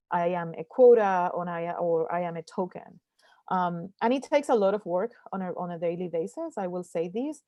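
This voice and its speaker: A woman from Puerto Rico whose first language is Spanish, speaking earnestly as a panelist in an online discussion, often pausing with fillers and restarting sentences. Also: lowish voice, warm tone, no room sound. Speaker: panelist, scientist.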